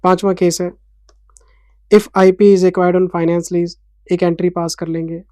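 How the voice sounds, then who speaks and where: A man's voice: high-pitched, flat delivery, slow, a little mellow, clean tone, no room sound, clean studio sound. A man in his forties, an accounting teacher giving a revision lecture in a passionate, scolding then explanatory manner, with an Indian English accent. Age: 40s.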